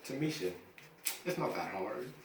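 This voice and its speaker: Man playing smooth flirtatious film actor, casual banter, animated pitch, distant mic, echoey room. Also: normal speed, close to an everyday voice. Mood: flirty, relaxed. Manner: casual, laid-back banter. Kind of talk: cold table read of a film script. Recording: echoey room, far from mic, faint noise.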